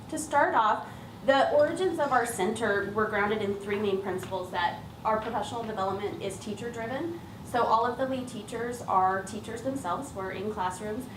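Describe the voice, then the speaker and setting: A woman teacher giving an informal, explanatory public presentation about her work, speaking as a lead trainer. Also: mid-range voice. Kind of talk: public presentation, semi-prepared talk. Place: echoey room at an event. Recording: roomy, distant mic, clearly noisy background.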